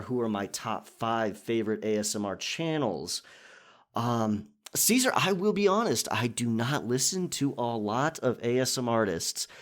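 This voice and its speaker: A man hosting a casual question and answer session for his listeners in a conversational, chatty manner. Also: mid-range voice. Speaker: audio roleplay creator and channel host.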